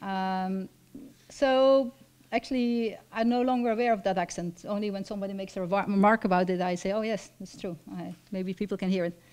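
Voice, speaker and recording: A woman in her fifties from Belgium with a Flemish accent, a lecturer reading a personal talk from notes at a lectern, measured and reflective, with frequent ums. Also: mid-range voice, fairly even intonation, a little mellow, smooth. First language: Dutch. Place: lecture hall stage at a lectern. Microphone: stand mic at a lectern.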